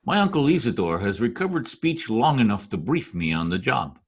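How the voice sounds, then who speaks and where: A scheming, formal political climber from a radio play, acted by a man. He has a mid-pitched, husky voice with animated rises and falls, insinuating and politely menacing. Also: fairly quick. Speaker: radio drama actor. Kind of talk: old-time radio drama acting.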